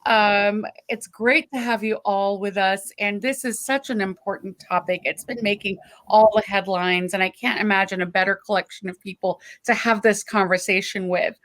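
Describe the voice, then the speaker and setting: A woman's voice: mid-range voice, fairly even intonation, moderate pace, hardly any pauses, a bit bright. A woman moderating a panel, introducing speakers in a friendly, slightly hesitant way with frequent ums.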